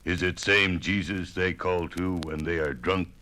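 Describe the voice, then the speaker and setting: Very deep, slow, solemn chief. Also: male voice, fairly even intonation, pushed and bright. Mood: skeptical. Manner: solemn broken English, weighty phrases. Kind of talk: 1950s radio drama acting. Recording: noisy old vinyl radio recording.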